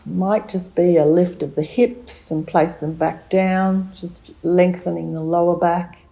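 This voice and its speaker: Slow, low-voiced woman guiding meditation. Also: steady tone, vocal fry, low-quality recording. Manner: soothing, unhurried, gentle instruction with long pauses. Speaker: guided meditation teacher. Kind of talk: slow guided relaxation meditation.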